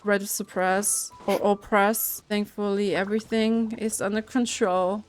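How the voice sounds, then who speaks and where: A woman's voice: medium pitch, flat delivery, measured pace. A woman game streamer chatting casually and reading aloud as she plays, hesitant and thinking aloud. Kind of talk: casual chat and reading aloud while playing. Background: game music.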